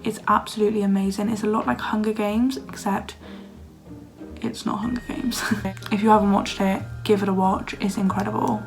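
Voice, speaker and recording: A young woman from Wales in her early twenties, chatting casually through viewer questions, her voice a little hoarse and croaky. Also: mid-range voice, fairly even intonation, normal speed, faint music behind. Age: young adult. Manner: chatty, lots of "like", laughs often. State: slightly hoarse, losing her voice. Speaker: vlogger. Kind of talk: casual Q&A chat. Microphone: phone camera.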